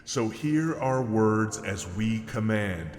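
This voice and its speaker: A brash, greedy teenage boy as a man acts him out proclaims in pompous storybook verse. His voice leaps about in pitch and carries a long reverb. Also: lively intonation, takes it slowly. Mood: eager, commanding. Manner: loud, pompous, commanding declamation in verse. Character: bold, greedy teenage boy summoning a demon. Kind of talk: dramatic poem reading. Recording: long reverb with music behind.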